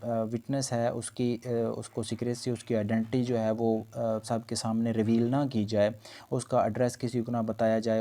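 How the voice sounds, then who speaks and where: Guy teaching law, soft mellow tone, mid pitch, explains stuff and says it again another way. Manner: explanatory, repeats and rephrases points. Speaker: law lecturer. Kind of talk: lecture explaining legal points in Urdu mixed with English terms.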